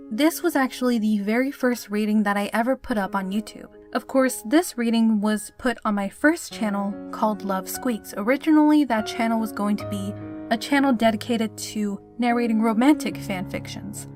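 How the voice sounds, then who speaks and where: A woman hosting a fan fiction channel, chatting informally and sincerely to her listeners. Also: on the high side. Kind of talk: informal scripted outro to listeners. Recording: clear guitar music behind the voice. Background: plucked guitar music.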